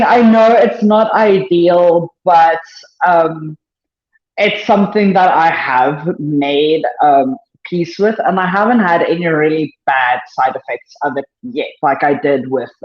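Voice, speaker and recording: A woman with a South African accent and a naturally deeper voice, talking candidly and self-deprecatingly as a podcast guest, rushing through thoughts with many fillers. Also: a little mellow. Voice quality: deeper than usual voice. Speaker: powerlifter guest. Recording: echoey room, dull lo-fi sound.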